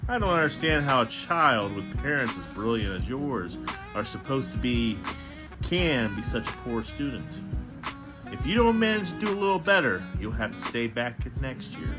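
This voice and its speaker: A man voices a disapproving storybook schoolteacher, cross and scolding. The pitch rises and falls widely for emphasis, over background music. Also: very animated, normal speed, natural voice.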